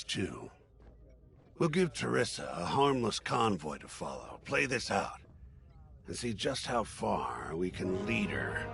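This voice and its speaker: An actor playing a shrewd, patient alien veteran in a space video game, talking in an unhurried, deliberate way. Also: male voice, low voice, gravelly, a bit bright, full character voice.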